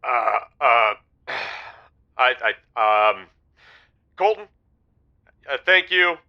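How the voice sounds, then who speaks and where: A man playing a flustered, lovelorn radio show caller who speaks in a halting, earnest, pleading way. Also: mid-range voice, lively intonation, no echo, highs cut off. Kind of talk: comedic radio call-in acting.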